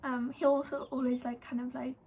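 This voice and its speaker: A woman's voice: sits high, level tone, a little fast, dark tone, slight background noise. An eighteen-year-old woman with a Welsh accent, casually telling a story to camera, full of "like" and "um". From Wales. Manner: chatty, lots of "like" and "um". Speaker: vlogger.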